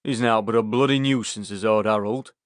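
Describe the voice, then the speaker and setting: A man's voice: lowish voice, fairly even intonation, a little fast, no room sound, studio quality, close to an everyday voice. A man voicing a bitter, fed-up village smallholder with a Yorkshire accent in an audiobook. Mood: bitter, complaining.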